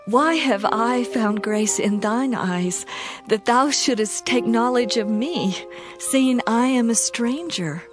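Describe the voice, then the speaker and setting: A woman's voice: on the high side, slow pace, over music. A woman playing a humble, devoted young widow who speaks deferentially in a dramatized scripture reading. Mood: humble gratitude.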